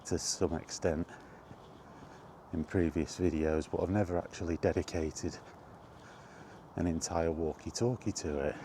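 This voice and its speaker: A middle-aged man giving a casual, rambling chat as he walks outdoors, in an unhurried, offhand manner. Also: deep voice, fairly even intonation, warm tone. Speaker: video game vlogger. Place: outdoors, walking toward a park. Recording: outdoor walking recording with background noise.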